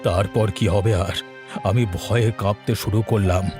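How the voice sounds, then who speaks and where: A man's voice: fairly low pitch, steady tone, unhurried, over music, lightly acted. A man voicing a doting, fearful village father in a cartoon, speaking in a tender, uneasy way. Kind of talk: cartoon voice acting.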